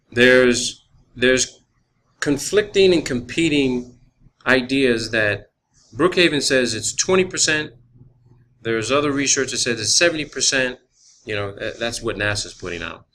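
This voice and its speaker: A man making a phone inquiry, explaining and asking questions in a halting, rambling way, on a phone call recording. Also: medium pitch, a bit bright, a little hiss or hum. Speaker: caller, student researcher.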